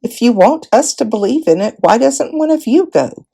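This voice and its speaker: Lady reading as a doubting clever soldier in a story, argumentative, creaky voice. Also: a little high, expressive, normal speed, dark tone, pitched above the performer's own voice, heavily acted. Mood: sceptical. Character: clever, sceptical, challenging soldier.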